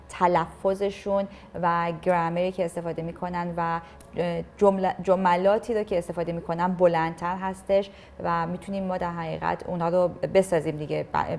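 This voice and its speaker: Rapid, gravelly low-voiced woman interviewer. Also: darkish tone, a little hiss or hum. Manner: hesitant, many filler sounds while translating. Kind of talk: bilingual interview, translating questions and answers.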